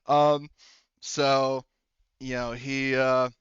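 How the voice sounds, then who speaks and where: A man's voice: mid-range voice, flat delivery, extremely slow, fairly bright tone, smooth, no echo, lo-fi sound. A man running a tabletop roleplaying game as game master, narrating in a rambling, casual, improvised way. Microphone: close headset.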